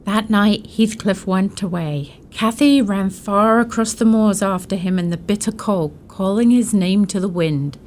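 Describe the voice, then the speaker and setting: A woman's voice: mid-range voice, measured pace, few pauses, darkish tone, slight background noise, lightly acted. A woman playing a concerned, motherly old household servant who tells her story in a radio drama. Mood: somber, narrating.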